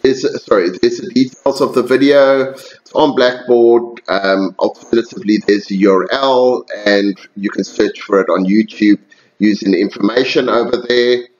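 Male lecturer, mid-pitched voice, casual voice-over for slides, calls students "guys". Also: moderate pace, highs cut off. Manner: relaxed, addresses students as guys, many ums and self-corrections.

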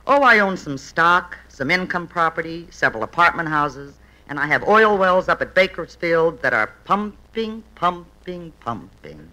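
Casting: grand faded screen diva, haughty, swooping delivery, forceful bright tone, old radio comedy. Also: female voice, lowish voice, lively intonation, unhurried, hardly any pauses, low-quality recording. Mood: boastful. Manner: grandiose, theatrical. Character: haughty faded silent-film star, a grand older woman. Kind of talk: 1950s radio comedy acting.

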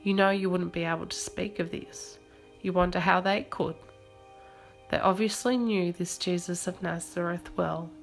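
A woman reading a scripted guided meditation in a measured, soothing manner. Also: on the low side, steady tone, creaky. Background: soft music.

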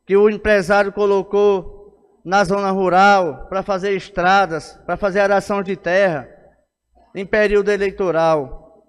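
A man's voice: sits high, clear, resonant tone. A man in his forties with a Northeastern Brazilian accent, giving an emphatic, insistent political speech as a city councillor at a podium microphone. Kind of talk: political speech in a plenary session. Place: indoor council chamber at a lectern. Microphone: gooseneck podium microphone. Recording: echoey hall with long reverb.

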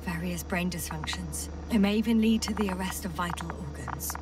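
An actress playing a thoughtful, worried woman scientist exploring space, speaking in a hushed, measured, earnest way in a video game. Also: on the low side, distant mic, very noisy, natural voice. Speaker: crew scientist. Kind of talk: dramatic game voice acting.

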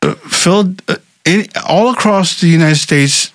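A man's voice: high-pitched, a little slow, a bit bright, no room sound. Man, thirties, from Philadelphia, emphatic casual talk, dead dry studio, desk mic. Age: 30s. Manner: emphatic, repeats words and says "right?" and "facts". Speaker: politician guest. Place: small studio with brick wall. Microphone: desk mic on boom arm.

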